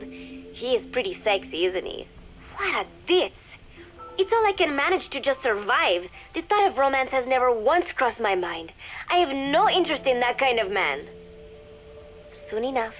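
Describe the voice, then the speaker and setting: Measured, scornful young female spy over music. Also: a little high. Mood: irritated, defensive. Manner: measured, confiding, dryly scornful. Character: young female undercover spy, secretly cold and disdainful, dictating diary. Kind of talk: scripted dramatic diary narration for a game.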